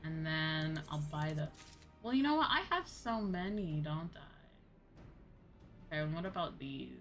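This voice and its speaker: Bright-voiced woman chatting on a game stream. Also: deep voice, unhurried, faint music behind. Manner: excitable, exclaiming. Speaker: livestreamer. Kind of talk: casual game livestream chat.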